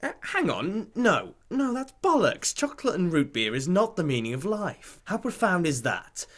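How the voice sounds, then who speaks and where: A man's voice: big pitch swings, normal speed. A man with a British accent playing a grumpy, sarcastic quest leader in a comic audio drama, sardonic and griping. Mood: exasperated. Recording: noisy amateur recording with rumble.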